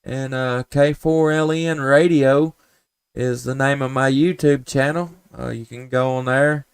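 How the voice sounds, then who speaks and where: A man's voice: medium pitch, fairly even intonation, measured pace, smooth, no room sound, studio quality. A man with a Southern US accent chatting and calling as an amateur radio operator, folksy and polite. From Tennessee, United States. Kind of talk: casual ham radio chat and calling.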